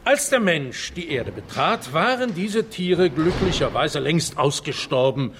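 A man's voice: on the high side, expressive, unhurried, noisy background. An actor playing a stuffy, easily flustered male palaeontologist in a radio drama, speaking in a grandiloquent academic way. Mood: grand, lecturing. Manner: grandiloquent, academic, indignant when challenged. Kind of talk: theatrical German radio drama acting.

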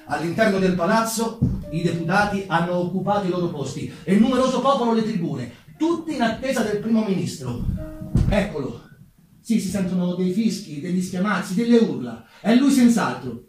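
A man narrating history dramatically as a stage actor, with deliberate, theatrical phrasing. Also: high-pitched, expressive. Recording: very echoey, distant, music behind.

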